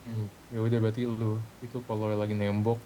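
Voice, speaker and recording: Man with an even, mellow voice. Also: lowish voice, a little fast, a little hiss or hum, rough recording. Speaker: roleplay gamer in a group meeting. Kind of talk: casual in-game meeting talk.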